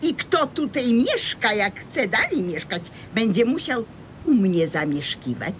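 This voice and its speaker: A woman's voice: very animated, a little slow. An actress playing a brash, scheming hustler woman in a radio comedy serial, bossy and wheedling, with a Podlasie Polish accent. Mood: bossy, defiant. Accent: Podlasie (eastern Polish). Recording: faint rumbling background noise.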